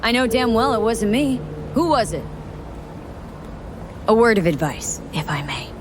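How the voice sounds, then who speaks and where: A woman's voice: on the high side, sing-song, unhurried, noisy background. A woman playing a tough, curt mercenary in a video game, speaking in a blunt, commanding way. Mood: stern, domineering. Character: tough, curt female mercenary posing as corporate dealer.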